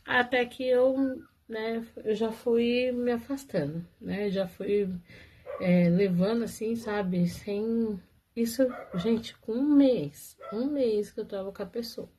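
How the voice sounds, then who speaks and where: A woman's voice: a little high, steady tone, takes it slowly, mellow, a little hiss or hum, rough recording. A woman telling viewers her own love story in a casual, chatty way, often laughing and talking straight to them.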